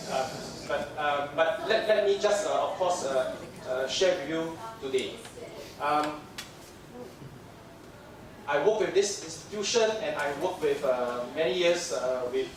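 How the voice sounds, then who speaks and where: A man's voice: on the high side, a little slow, a crowd in the background. A man from Singapore with a Singaporean English accent giving a conference lecture on dentistry, chatty and joking with lots of fillers, jet lagged. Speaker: dental lecturer. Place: large lecture hall. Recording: echoey hall mic from far off, audience noise.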